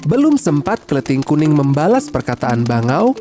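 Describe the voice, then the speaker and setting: Expressive woman storyteller. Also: low voice, moderate pace, soft background music. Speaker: narrator. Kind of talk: scripted children's story narration.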